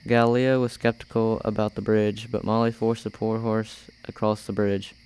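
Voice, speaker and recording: Low, even-voiced young male narrator. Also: normal speed, smooth, rough recording. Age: teenager or young adult. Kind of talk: scripted story narration.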